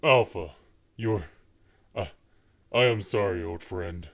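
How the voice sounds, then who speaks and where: A man's voice: low voice, slow, a bit bright. A man playing an anguished heroic warrior with emotional, broken delivery in an audio drama. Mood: grieving. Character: heroic male warrior, anguished, devoted old friend. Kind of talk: fan audio drama hero acting.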